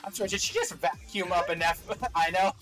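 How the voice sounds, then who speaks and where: A man hosting casual reaction commentary on game trailers, with jokey, animated asides. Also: high-pitched, fast, faint music behind.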